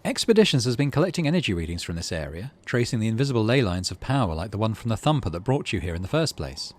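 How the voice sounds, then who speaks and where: Low-pitched man with very lively intonation. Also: fast talker. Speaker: gaming video host. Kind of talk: game let's play, reading narration aloud with casual asides.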